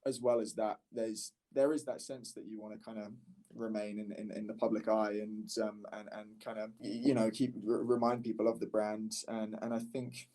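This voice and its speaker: A man's voice: lowish voice, flat delivery, normal speed, warm tone. A man speaking casually as a podcast guest and startup founder, candid and often rephrasing mid-sentence. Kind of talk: casual conversational podcast interview.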